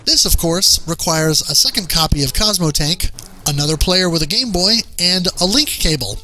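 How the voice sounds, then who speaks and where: Bright-voiced man narrating game reviews over music. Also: on the high side, expressive, normal speed, rough recording. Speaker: video game review host. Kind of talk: scripted narration.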